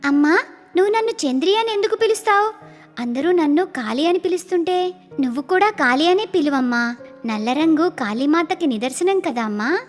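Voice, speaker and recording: A woman voices a sweet-natured young village girl with an extremely high voice. She sounds childlike and earnest, a cartoon heroine from a moral tale. Music plays underneath. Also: expressive, normal speed. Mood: innocent, affectionate. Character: kind-hearted, earnest young village girl selling vegetables. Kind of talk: dramatised moral story cartoon voice acting.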